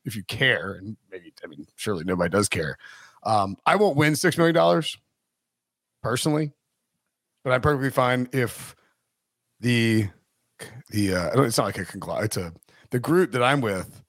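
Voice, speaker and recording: A man's voice: medium pitch, dry recording, clean studio sound. A man hosting a sports podcast in casual chat, rambling and interrupting himself, laughing often. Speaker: podcast host.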